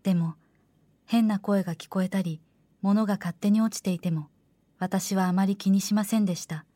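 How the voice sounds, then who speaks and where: Dark, mellow woman narrating horror. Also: mid-range voice, steady tone, moderate pace, no room sound. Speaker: first-person story narrator. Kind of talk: scripted horror drama narration.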